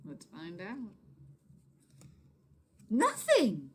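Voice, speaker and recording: A woman reading a story aloud to children expressively, with dramatic emphasis and hushed asides to her listeners. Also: medium pitch, wildly swooping pitch, drawn-out words, background noise. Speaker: teacher or reader of a children's story.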